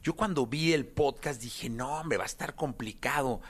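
A man's voice: medium pitch, normal speed. A man hosting a casual interview in an affirming, encouraging, conversational way. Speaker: interview host.

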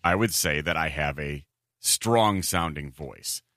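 A man's voice: a bass voice, slow, fairly bright tone, dry recording, clean studio sound. A man co-hosting a radio show, easygoing and rambling, poking fun at himself in casual chat. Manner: easygoing, rambling, self-deprecating. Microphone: studio microphone.

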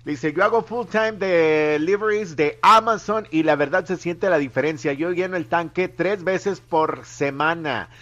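A man hosting a morning radio show with a voice a touch higher than most men's. He reads listener messages aloud and teases his co-host in a playful, joking way. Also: a little slow, hardly any pauses, a bit bright, lo-fi sound.

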